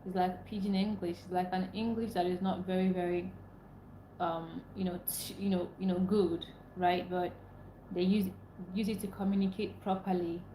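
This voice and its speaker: A woman's voice: on the low side, little pitch movement, normal speed, dark tone, a little hiss or hum. A young Nigerian woman, a native Igbo speaker, hosting a casual livestream, chatting with viewers, repeating herself and laughing often. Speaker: livestream host. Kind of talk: casual livestream chat reading comments. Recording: echoey room, faint ambience.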